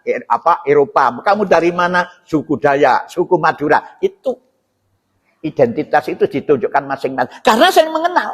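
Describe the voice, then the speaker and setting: Animated high-pitched Javanese preacher, man. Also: normal speed, breathy edge. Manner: didactic, rhetorical questions and check-in tags. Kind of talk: informal mosque sermon explaining scripture. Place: inside a mosque. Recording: reverberant hall sound.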